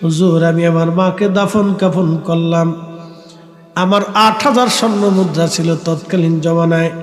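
A man preaching a sermon, voicing a remorseful former drunkard who confesses and begs forgiveness in tearful, drawn-out pleas. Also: on the high side, steady tone, faint music behind, voice pushed down, natural voice. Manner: tearful pleading, drawn-out wailing phrases. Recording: echoey PA with long reverb, musical sound behind.

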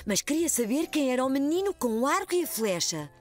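Expressive European Portuguese woman narrator. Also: high-pitched, brisk, faint music behind. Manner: gentle, expressive storytelling. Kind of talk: scripted storybook narration.

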